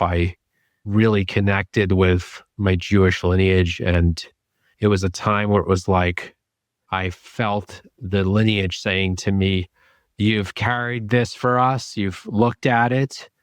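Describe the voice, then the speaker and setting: Male interview guest, lower register, reflective and deliberate, recorded dry. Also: no room sound. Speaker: podcast guest, psychedelic therapy clinician.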